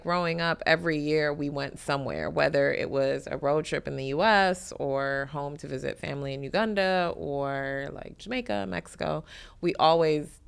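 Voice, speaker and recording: Woman in her 30s, low voice with lots of fry, bright edge, laughs a lot and says like constantly. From Detroit, USA. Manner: laughs often, chatty with frequent "like" and "um". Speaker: guest. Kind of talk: casual interview chat.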